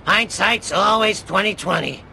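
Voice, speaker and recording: A man voicing a jaded old showbiz clown in a cartoon video game, gravelly, world-weary and flippant. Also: high voice, a little slow, bright, forceful tone, slight background noise, full character voice, rough recording.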